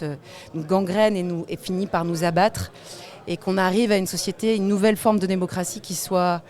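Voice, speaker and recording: A woman's voice: medium pitch, darkish tone. A woman talking earnestly as a panelist in a roundtable discussion. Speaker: collective member and panelist.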